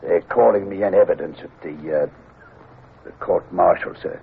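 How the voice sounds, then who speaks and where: An actor playing a gruff, loyal British naval lieutenant, speaking deferentially and with hesitation on an old radio drama. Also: male voice, low-pitched, normal speed, slight background noise. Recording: tinny old radio broadcast recording.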